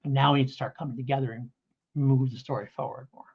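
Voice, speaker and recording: A man's voice: medium pitch, fairly even intonation, fast, husky, highs cut off. A middle-aged man hosting a casual review podcast, talking in a rambling way and correcting himself as he goes. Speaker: podcast host.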